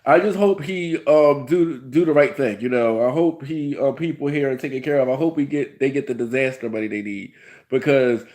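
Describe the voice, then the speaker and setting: A man's voice: mid-range voice, normal speed, a little mellow. Rambling man in his thirties with a cold. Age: 30s. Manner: rambling, frequent "you know" fillers, restarts. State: has a cold. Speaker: host. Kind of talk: casual reality-TV review vlog.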